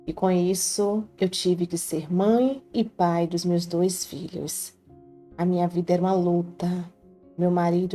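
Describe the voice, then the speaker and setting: Brazilian woman narrator, low and warm, earnest first-person telling over faint music. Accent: Brazilian Portuguese. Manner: earnest, heartfelt confiding tone. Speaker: storyteller. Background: faint background music.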